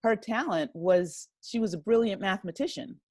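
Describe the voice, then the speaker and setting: A woman speaking as a guest in a casual interview, thoughtful and halting as she explains things. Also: mid-range voice, mellow, no room sound, studio quality. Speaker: podcast guest, writer and director.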